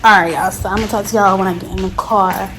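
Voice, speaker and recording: A young woman with a slightly hoarse throat, chatting casually to her viewers on a phone while going about her day. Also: mid-range voice, steady tone, fast, pushed and bright, faint music behind. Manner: chatty, addresses viewers as y'all, talks to her baby between remarks. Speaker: lifestyle vlogger. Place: in a car and at home. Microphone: phone camera. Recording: noisy phone recording with road noise.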